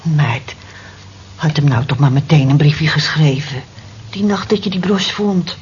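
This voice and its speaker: A woman's voice: low-pitched, animated, unhurried, a little mellow. An actress playing a blunt, motherly female workmate in a radio drama, practical and consoling, frank and coaxing in manner. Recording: muffled, noisy old radio play recording.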